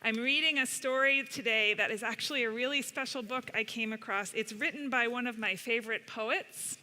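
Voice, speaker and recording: Woman storyteller, higher-pitched, bright voice, slow deliberate read-aloud for children, long hall reverb. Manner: unhurried, deliberate phrasing with pauses. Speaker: storyteller reading to children at a church service. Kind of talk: picture-book read-aloud. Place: large indoor church sanctuary.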